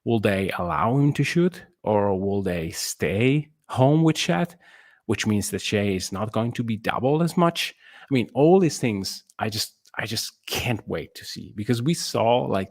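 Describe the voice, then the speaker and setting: A man with a low voice talks through basketball as a podcast co-host. He searches for his phrasing in slightly non-native English, and the sound is close and dry. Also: a little mellow, no echo. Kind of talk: casual basketball analysis.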